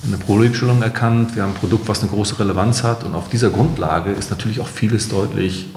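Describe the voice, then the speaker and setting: A man's voice: fairly low pitch, fairly even intonation, fast talker, darkish tone, slight background noise. A middle-aged man answering interview questions as a company founder, searching for his phrasing and correcting himself. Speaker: company founder, podcast guest. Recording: roomy, echoey interview room.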